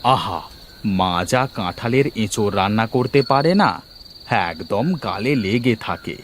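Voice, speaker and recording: A man's voice: lowish voice, animated, measured pace, noisy background. A man playing a genial, chatty middle-aged village father or neighbour in a cartoon. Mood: teasing, fond. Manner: chatty, questioning. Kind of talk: animated cartoon story acting.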